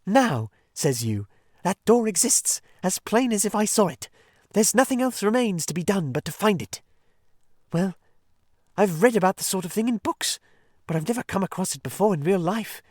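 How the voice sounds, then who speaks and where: A man reading a storybook and voicing a quick, sharp-tongued male water rat who talks in a sarcastic, bossy way. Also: sits high, big pitch swings, dry recording, clean studio sound, pitched above the performer's own voice. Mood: mocking sarcasm.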